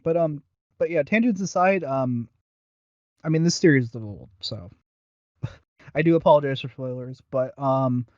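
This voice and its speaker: A man co-hosting a podcast with casual, halting, rambling commentary and some swearing. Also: medium pitch, a little mellow, dry recording. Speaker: podcast co-host. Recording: dull lo-fi voice recording.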